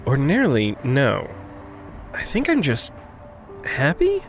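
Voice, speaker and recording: A man playing an earnest, guarded traveler in a naturalistic audio drama, speaking in a hesitant, halting way. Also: mid-range voice, huge pitch swings, faint music behind. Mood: hopeful, tentative.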